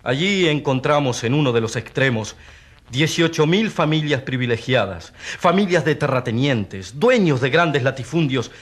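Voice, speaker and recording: A gravely concerned doctor on the witness stand of a radio drama. His middle-pitched voice is very lively in intonation as he lays out his points emphatically. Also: moderate pace. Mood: grave, emphatic. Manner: emphatic, expository. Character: earnest doctor witness, long among peasants, gravely concerned. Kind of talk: courtroom radio drama acting.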